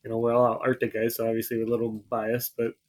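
An easygoing male podcast co-host who rambles through his week in a mid-pitched voice. He often trails off his sentences with a loose "so.". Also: completely flat delivery, quick pace. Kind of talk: casual chat.

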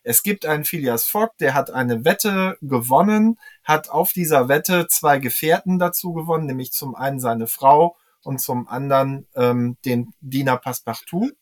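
A man's voice: medium pitch, moderate pace, few pauses. A man reviewing in a podcast discussion as an expert guest, explaining at length with some hesitation.

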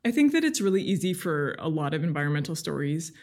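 A woman's voice: deep voice, very animated, fast, a little mellow. A woman speaking as an interview guest, a journalist talking candidly and thoughtfully in a casual, slightly hesitant way. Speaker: guest, freelance magazine journalist.

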